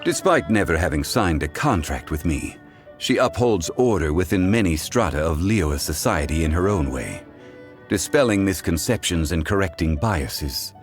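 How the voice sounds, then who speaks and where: An actor voicing a calm, ancient, scholarly male god in a video game, speaking in a measured, formal and eloquent way. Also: sits low, faint music behind. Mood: composed, measured. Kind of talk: scripted video game character voice lines.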